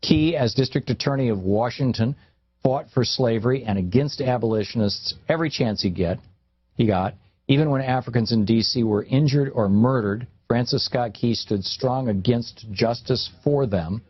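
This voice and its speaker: Male talk radio commentator, husky, emphatic reader with conversational asides; narrow, tinny sound with roomy echo. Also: medium pitch, normal speed. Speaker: radio talk show host. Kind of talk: radio commentary, reading aloud from a newspaper column.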